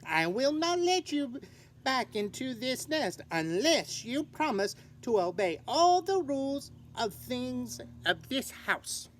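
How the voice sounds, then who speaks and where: Storybook mama bird, acted by a woman: raised pitch, stern, scolding, bossy. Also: very animated, takes it slowly, a little hiss or hum, heavily acted. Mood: alarmed, scolding. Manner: indignant, bossy. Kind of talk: children's storybook character voice.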